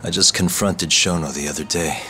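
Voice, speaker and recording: An actor voicing a weary, sardonic detective in a video game, with a dry, understated delivery. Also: male voice, low-pitched, moderate pace, bright, forceful tone. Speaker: detective, main character. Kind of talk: video game dub acting. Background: music.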